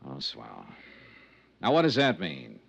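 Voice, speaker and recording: An actor playing a sardonic, probing insurance investigator in a 1950s radio detective drama, wry and clipped. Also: male voice, a bass voice, wildly swooping pitch, takes it slowly, gravelly, fairly bright tone, breathy edge, full character voice. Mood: probing, exasperated. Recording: muffled old radio recording.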